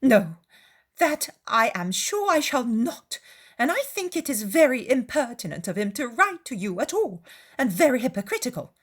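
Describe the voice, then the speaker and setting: A woman's voice: a little high, sing-song, moderate pace, slightly rough, pitched above the performer's own voice. Woman acting a querulous, indignant middle-aged mother. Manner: emphatic, complaining.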